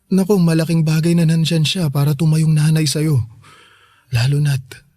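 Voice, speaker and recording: A man's voice: on the high side, flat delivery, moderate pace, mellow, close to an everyday voice. An actor playing a loving, apologetic Filipino father who works abroad, speaking tenderly and pleadingly in a radio drama. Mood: tender, regretful.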